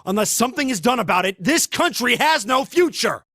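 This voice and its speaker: Casting: self-righteous male student for anime game, bright, tense, swooping delivery, heated and scornful. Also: extremely high pitch, expressive, fairly quick, no echo, studio quality, soft background music, full character voice. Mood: indignant. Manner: heated, preachy. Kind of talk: English anime game dub acting.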